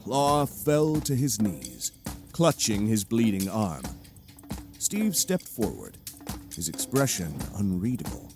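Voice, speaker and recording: A man's voice: lowish voice, wildly swooping pitch, slow pace. A man narrating an audio drama in a theatrical, scripted storytelling style. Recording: cinematic music bed behind.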